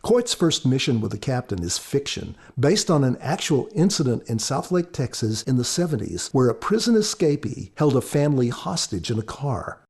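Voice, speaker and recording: A man's voice: medium pitch, sing-song, moderate pace, few pauses, a little mellow, slightly rough. A man speaking as an author on a podcast, giving scripted commentary.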